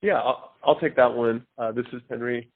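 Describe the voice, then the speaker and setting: A man, a company executive, giving earnings call remarks with hesitant, pause-filled phrasing. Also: medium pitch, fairly even intonation, a little hiss or hum. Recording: phone line.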